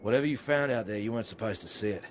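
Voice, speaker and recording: An actor playing a wounded, stranded man, gruff and sardonic. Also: lowish voice, racing, slight background noise, close to an everyday voice. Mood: bitter.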